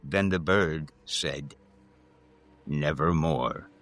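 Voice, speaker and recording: A man giving a theatrical, solemn and measured dramatic reading of gothic verse, with deliberate pauses. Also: deep voice, animated, a bit bright. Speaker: podcast host reading a poem.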